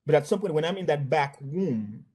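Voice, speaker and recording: Higher-pitched man with a Haitian accent, halting delivery. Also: animated, a little mellow. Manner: halting, repeats words and phrases. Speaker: former bank employee giving testimony. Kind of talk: spontaneous spoken account of workplace incidents.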